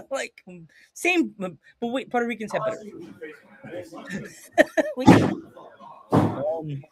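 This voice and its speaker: Excitable high-voiced man hosting a podcast. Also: very slow, pauses often. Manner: excitable, repetitive, says dude constantly. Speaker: podcast host. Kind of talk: casual podcast chat.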